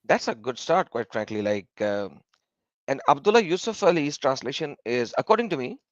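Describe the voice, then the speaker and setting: A man's voice: mid-range voice, very animated, normal speed, dry recording. A man hosting a livestream, speaking hesitantly with many fillers. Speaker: channel host. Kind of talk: casual livestream chat. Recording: dull lo-fi dry sound.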